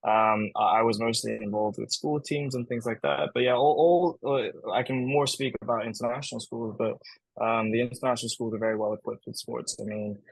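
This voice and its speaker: A man's voice: medium pitch, steady tone, fairly quick, creaky, breathy edge, no room sound, low-quality recording. A young man from Hong Kong, a podcast guest, answering casually with lots of fillers and restarts and frequent laughs. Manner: chatty, many fillers and restarts, laughs often. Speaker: guest, camp business manager.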